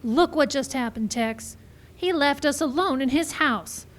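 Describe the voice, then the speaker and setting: Woman playing a sensible cowgirl heroine in a radio comedy western, real high voice, earnest. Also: a little slow. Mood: earnest, urgent. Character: earnest, level-headed Old West ranch heroine. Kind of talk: comic live radio western acting.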